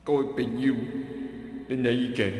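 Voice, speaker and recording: A man's voice: medium pitch, fairly even intonation, normal speed, darkish tone, close to an everyday voice. A man playing an emphatic, formal deity in a folk-legend stage play, speaking oratorically in a very echoey hall. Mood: reassuring, exasperated. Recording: very echoey hall, distant, faint noise.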